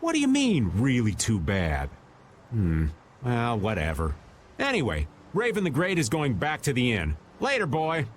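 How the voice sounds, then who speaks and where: An actor playing a cheeky, shifty, easygoing old rogue in a video game, with folksy, joking, slangy talk. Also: male voice, mid-range voice, wildly swooping pitch, measured pace, slight background noise. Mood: mock offended.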